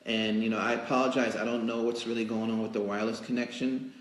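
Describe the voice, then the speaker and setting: A man hosting a casual live stream, chatting in a rambling, halting way as he fills time. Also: mid-range voice, little pitch movement. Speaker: magazine editor hosting a live interview show. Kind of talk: casual improvised livestream hosting. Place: indoors in a cake studio. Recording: roomy, echoing live stream indoors.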